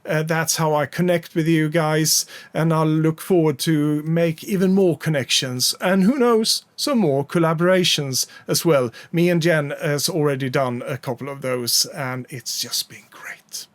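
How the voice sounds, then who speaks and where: A man's voice: on the high side, a little slow, few pauses, no echo. A man from Sweden with a Swedish accent, chatting casually to camera about his record collection, rambling a little with frequent fillers.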